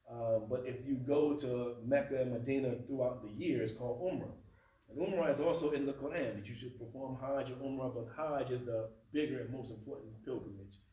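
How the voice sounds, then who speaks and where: A man in his thirties with a dry mouth from fasting, talking conversationally at a lectern in a carpeted prayer room. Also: mid-range voice. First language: not Arabic. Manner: conversational, animated, gesturing at a lectern. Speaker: speaker teaching at a mosque. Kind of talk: informal teaching talk. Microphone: far camera. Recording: roomy, distant camera sound with faint hum.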